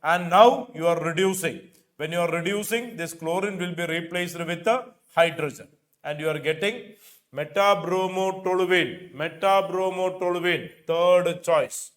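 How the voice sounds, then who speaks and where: A man's voice: high-pitched, unhurried. A middle-aged man with an Indian accent teaching an exam coaching class, repeating key phrases for emphasis. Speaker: chemistry teacher. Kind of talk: exam coaching lecture working through questions. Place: indoor teaching studio with a digital board. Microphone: lapel microphone.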